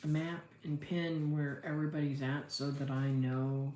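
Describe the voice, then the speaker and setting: A woman's voice: low voice, level tone, normal speed. A middle-aged American woman chatting casually on a livestream, rambling and thinking aloud in a folksy way as she answers viewers. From Florida, United States. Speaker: livestream host, homesteader. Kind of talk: casual livestream chat, reading and answering comments. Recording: roomy echo, faint background noise.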